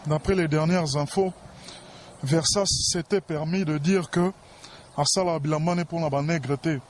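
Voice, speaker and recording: A man's voice: a little high, steady tone, a little slow, slight background noise. A man with a Congolese accent speaking in a pompous, boastful, self-important way in a talk-show interview.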